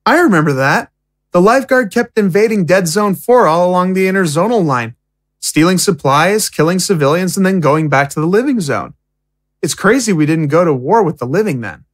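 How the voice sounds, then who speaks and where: A woman's voice: on the low side, animated, moderate pace, no echo. A woman playing an impatient, outspoken young prisoner in an audio drama, with a casual, indignant way of talking. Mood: reflective.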